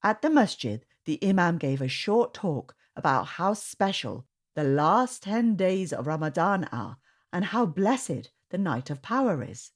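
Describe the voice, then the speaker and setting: A woman reading a storybook aloud to children in an expressive, sing-song way. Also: lowish voice, a little slow. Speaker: storytime reader. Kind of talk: children's read-aloud narration.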